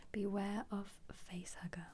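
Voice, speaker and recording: A woman speaking as an ASMR host in a gentle whisper, musing casually and without hurry as she flips through a book. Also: mid-range voice, little pitch movement, a little slow, very noisy. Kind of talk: soft-spoken ASMR book flip-through.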